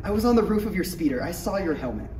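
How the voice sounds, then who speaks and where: A man's voice: sits high, very animated, fast, noisy background. A man voicing a stubborn, casual young Jedi apprentice with offhand, grumbling delivery in a comedy table read. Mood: insistent. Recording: distant, echoey room recording.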